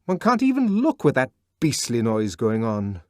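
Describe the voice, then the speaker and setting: A brooding, defiant young man, earnest and worked up, as a male audiobook reader plays him. His pitch rises and falls widely. The recording is dry and close. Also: huge pitch swings, normal speed, dry recording. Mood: intense. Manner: earnest, brooding, sometimes shouting. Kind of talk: audiobook character voice.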